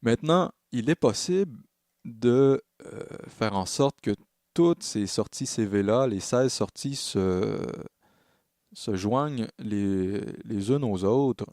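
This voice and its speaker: A man narrating a software tutorial as a music production tutor, explaining in a halting way and correcting himself as he goes. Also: lowish voice, dry recording, clean studio sound. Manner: hesitant, halting phrasing with self-corrections.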